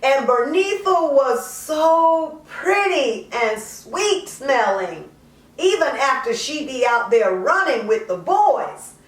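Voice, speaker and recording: A woman performing a dramatic monologue as a raw, confessional, crack-addicted mother, in halting, colloquial, emphatic speech. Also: high voice, big pitch swings, exaggerated voice. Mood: wistful, confiding. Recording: roomy echo, distant microphone.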